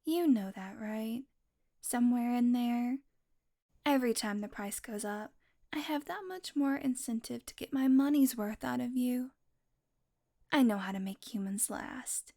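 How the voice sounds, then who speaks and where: A woman playing a smug, predatory female vampire in an intimate audio roleplay, taunting with a drawl that dips into breathy murmurs. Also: fairly high pitch, a little slow, mellow. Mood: taunting, wistful.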